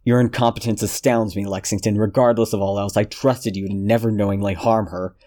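A man voicing a cold, controlled detective who speaks in a measured, menacing way. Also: lowish voice, steady tone, mellow, close to an everyday voice.

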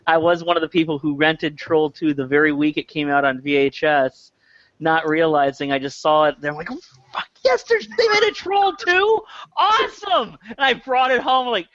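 Casting: male film panelist, sharp and high, animated anecdote-teller, dull close recording. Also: moderate pace, no echo. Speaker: podcast panelist on films. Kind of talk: casual chat, animated anecdotes.

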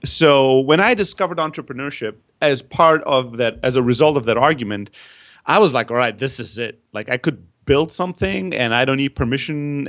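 A man from Greece tells his story as a guest on a podcast. He talks with candid, energetic self-deprecation. His voice sits at a middle pitch. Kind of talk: casual interview storytelling.

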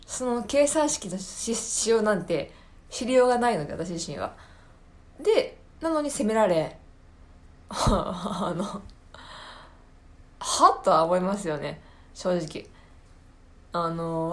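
Animated woman venting casually, higher-pitched voice. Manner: animated, acts out quoted remarks. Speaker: podcast host. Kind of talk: casual monologue venting about work.

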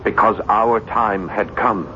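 A man's voice: steady tone, slow. A man playing a tormented, obsessed writer in a 1970s radio drama, brooding and argumentative in a theatrical way. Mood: haunted, fervent. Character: tormented male French writer, obsessed with an unseen evil. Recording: dull 1970s radio broadcast, faint rumbling noise.

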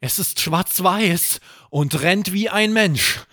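A man narrating a scripted children's bedtime story as podcast host, with expressive, dramatic delivery. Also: sits high, slow pace, no echo, clean studio sound.

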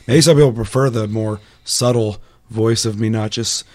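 A young man with a hoarse, raspy voice, hosting a casual gaming podcast with chatty, self-deprecating humor. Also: lowish voice, steady tone, moderate pace, a little mellow. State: hoarse, recovering lost voice. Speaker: podcast host.

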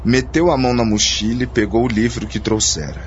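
A man's voice: medium pitch, steady tone, a little fast, a little hiss or hum. A man delivering scripted narration as the storyteller of a radio soap. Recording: muffled lo-fi radio drama.

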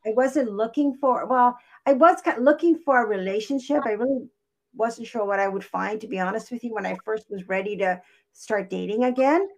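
A woman's voice: a little high, moderate pace, darkish tone. A woman from Hawaii, a guest life coach in an interview, speaking earnestly and reflectively. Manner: earnest, reflective, many fillers and restarts. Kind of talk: interview conversation.

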